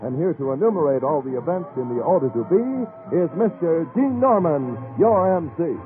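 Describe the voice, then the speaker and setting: A man's voice: on the high side, expressive, normal speed. Theatrical American man announcing on muffled vintage radio. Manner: theatrical, playful rhyming hepcat delivery. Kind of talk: 1940s radio variety show announcing, jive patter. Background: music.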